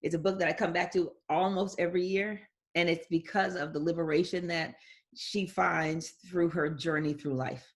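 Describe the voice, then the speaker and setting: A middle-aged woman, a guest educator, answering interview questions in a measured, reflective way, phrase by phrase. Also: on the low side, fairly even intonation.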